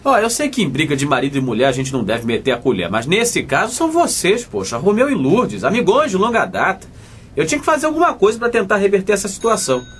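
A man's voice: sits high, huge pitch swings, fast, fairly bright tone, slight background noise, heavily acted. An actor with a Brazilian accent playing a hapless, cajoling father in a colloquial comic radio soap. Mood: pleading. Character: hapless, pleading young father narrating his own story.

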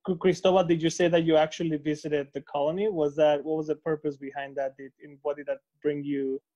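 Even, mellow male interviewer, echoey call. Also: on the high side, brisk. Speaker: interviewer, film critic moderating. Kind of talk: casual Q&A moderation. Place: room at home. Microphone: video call on phone or laptop. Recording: dull, echoey video-call audio.

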